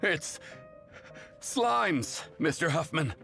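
High-voiced, panicked young soldier. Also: male voice, very animated, vocal fry, sharp tone, soft background music, exaggerated voice. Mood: nervous. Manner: urgent, halting. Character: panicked young male soldier reporting to his superior. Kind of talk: anime game voice acting.

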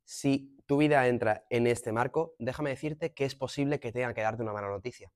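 A man in his thirties with an accent from Spain, a fitness coach giving measured, didactic advice straight to the viewer. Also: medium pitch, a little mellow. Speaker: fitness coach presenting a lesson. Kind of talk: explanatory advice to camera. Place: gym room, indoors. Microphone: clip-on lapel mic.

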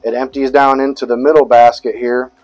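A man's voice: medium pitch, little pitch movement, fairly quick, darkish tone, poor audio. A man casually walking a visitor through his basement pump room, explaining his aquarium plumbing in a plain, matter-of-fact way. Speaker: fish tank hobbyist giving a tour. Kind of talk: casual walkthrough tour.

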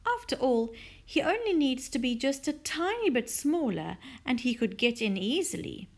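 A woman voicing a clever, courteous gentleman neighbour in a children's storybook, assured and instructive. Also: high-pitched, big pitch swings, moderate pace, voice pushed up, exaggerated voice. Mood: confident, instructive.

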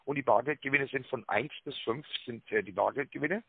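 A man giving a rehearsed telephone sales pitch, full of tag words and hesitations, with a raspy voice. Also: a little fast, no echo. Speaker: telemarketing caller pitching a prize draw. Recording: narrow tinny phone line.

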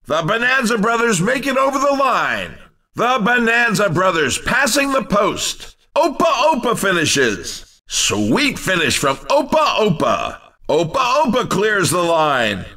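Upbeat video game race commentator: wildly animated, forceful, exclamatory, slightly off-mic. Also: male voice, high voice, distant mic, exaggerated voice. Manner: exclamatory, punchy announcer delivery. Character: excitable, upbeat male racing game commentator.

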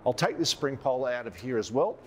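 A man's voice: medium pitch, expressive, brisk, very noisy. Echoey middle-aged Australian man presenting. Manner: informal, conversational, checking in with "right?". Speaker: presenter of outdoor gear product videos. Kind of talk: casual product walkthrough. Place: large hard-walled showroom, indoors. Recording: echoey showroom, long reverb.

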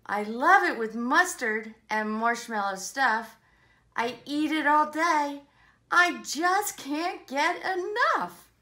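An excitable, stubborn girl monkey, acted out by a woman for a children's storybook. The voice is high and forceful, swinging up in singsong bursts. She gushes greedily and stresses her words hard. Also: slow pace, exaggerated voice. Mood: gleeful. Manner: gushing, emphatic, singsong. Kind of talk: children's storybook read-aloud.

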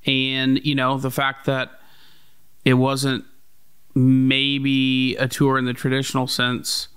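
A man's voice: mid-range voice, completely flat delivery, takes it slowly, fairly bright tone, clean tone. A young man co-hosting a podcast in casual conversation, earnest and full of "you know" fillers, on a studio mic in a home room. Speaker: podcast co-host, label runner. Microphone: boom-mounted studio mic.

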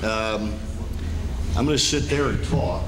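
A man's voice: on the low side, slow. A man presenting an informal, anecdotal slide-show lecture to a room, speaking as a presenter and biographer. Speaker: presenter, biographer of a cartoon voice actor. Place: hotel function room with projector screen. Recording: noisy room recording with ambience.

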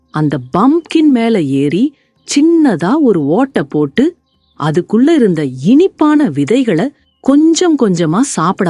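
A woman narrates a tale for children with wide, animated swings of pitch. Her phrasing is slow, deliberate and expressive. The recording is close and dry, with music underneath. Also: no room sound. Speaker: storyteller for children.

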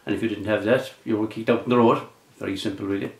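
A man's voice: lowish voice, steady tone, fast talker, creaky, far from the mic. A man explaining something casually. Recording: echoey, away from the mic.

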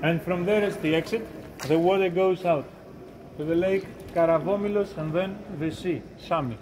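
A man in his thirties guides a boat through a cave lake, speaking English with a Greek accent in short, plain, joking lines. His voice sits high for a man. A passenger's phone picks him up with clear background noise around him. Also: normal speed. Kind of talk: casual tour guiding. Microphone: phone held by a passenger.

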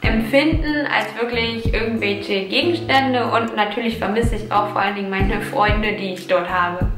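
A woman's voice: mid-range voice, normal speed. A young woman from Germany, in her twenties, chatting reflectively as a vlogger answering viewer questions from her sofa, sometimes searching for words. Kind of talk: casual Q&A vlog. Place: living room, seated on sofa. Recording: roomy echo with background music.

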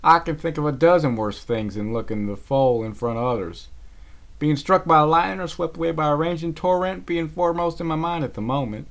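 A man's voice: high-pitched, animated, brisk, a bit bright, pitched above the performer's own voice. A man voicing a plucky, no-nonsense female earth pony with a put-on rural Southern drawl and a folksy, blunt manner. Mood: impatient.

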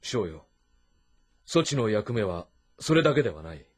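A shrewd, lordly middle-aged warlord in a historical game drama. His voice is husky and swings widely in pitch, speaking with deliberate authority. Light music plays underneath. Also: big pitch swings. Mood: calm, commanding. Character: commanding, shrewd middle-aged male warlord of ancient China.

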